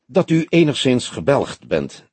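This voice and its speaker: A male narrator acts out an old police detective, courteous and gently mocking. The husky voice moves in lively swings with solemn, measured phrasing, in a dry audiobook recording. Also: medium pitch, dry recording, pitched above the performer's own voice. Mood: soothing. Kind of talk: audiobook character dialogue.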